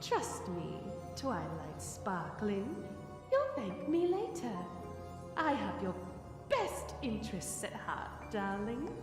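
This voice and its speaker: A woman's voice: medium pitch, big pitch swings, slow pace. A woman voicing a corrupted pony villainess who coos with false tenderness in an audio drama. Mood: sweetly menacing. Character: rot-corrupted pony villainess, sweetly menacing impostor of a friend. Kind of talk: scripted audio drama acting. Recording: echoey hall reverb with music.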